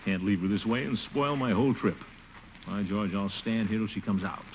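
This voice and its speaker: An actor playing a pompous, blustery middle-aged uncle with a theatrical, chuckling delivery in 1940s radio comedy style. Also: male voice, on the low side, fairly quick, lightly acted. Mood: flustered. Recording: dull, noisy old radio recording.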